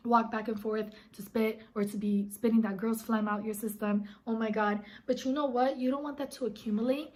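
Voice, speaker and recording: A woman vlogger with a slightly raspy, hoarse voice as she recovers from illness, talking in an earnest, encouraging way and addressing her viewers directly. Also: fairly high pitch. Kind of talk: casual advice vlog.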